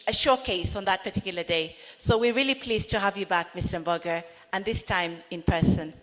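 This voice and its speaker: A woman's voice: medium pitch, a bit bright. A woman hosting a conference session, introducing speakers with some hesitant fillers. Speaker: event host. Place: large conference hall. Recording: hall reverb.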